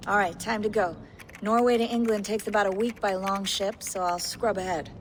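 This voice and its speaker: A woman's voice: medium pitch, steady tone, normal speed, natural voice. Woman playing a practical tech operator, long reverb. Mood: practical, attentive. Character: brisk, practical, considerate female tech operator. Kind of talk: naturalistic video game acting. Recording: long reverb, faint background noise.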